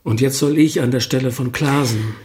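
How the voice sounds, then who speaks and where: An actor playing a reserved, dry middle-aged writer from East Germany in a naturalistic radio drama. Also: male voice, medium pitch, normal speed, darkish tone, roomy sound, close to an everyday voice. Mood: dry, wry.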